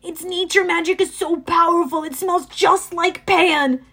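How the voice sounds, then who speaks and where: A woman's voice: very high voice, fairly even intonation, measured pace, bright, forceful tone, pitched above the performer's own voice, heavily acted. A woman voicing a nervous, frightened young male satyr in an audiobook, pleading and anxious. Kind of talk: audiobook character voice.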